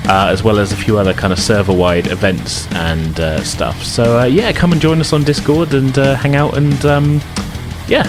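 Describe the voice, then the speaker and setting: A man's voice: medium pitch, fairly even intonation, moderate pace. A man hosting and game mastering a tabletop role-playing podcast in an informal, chatty way. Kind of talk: casual podcast intro and tabletop game narration. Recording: music behind the voice. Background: music.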